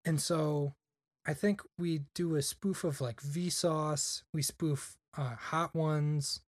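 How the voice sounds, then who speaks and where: A man's voice: on the high side, fairly even intonation, takes it slowly, no echo, clean studio sound. A young man chatting casually on a podcast, rambling with lots of "like" fillers. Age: young adult. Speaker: podcast guest. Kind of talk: casual chat.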